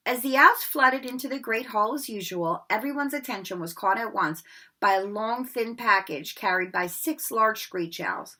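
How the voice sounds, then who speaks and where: A woman reading a children's novel aloud in an expressive storybook manner, with occasional slips and rereads. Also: a little high, normal speed. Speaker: read-aloud narrator.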